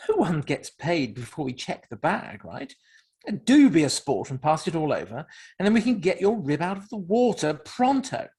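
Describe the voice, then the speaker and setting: Man acting a husky, swooping, patronising crook. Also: a little high, very animated, moderate pace, a little mellow, full character voice. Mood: mocking. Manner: arch, patronising, affected politeness. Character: mocking, smooth-talking slim short male criminal.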